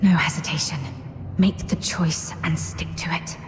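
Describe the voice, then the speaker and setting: A woman's voice: deep voice, fairly even intonation, measured pace. An actress playing a determined young woman soldier in a game, terse and resolute. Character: determined young female soldier facing a trial. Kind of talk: dramatic game cutscene acting. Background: loud music.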